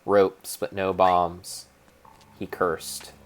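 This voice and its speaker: A man narrating his own gameplay as a solemn, theatrical dramatic reading, with pauses and small slips. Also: low-pitched, monotone, a little hiss or hum. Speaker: game streamer narrating play. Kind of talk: mock-dramatic storybook narration over gameplay.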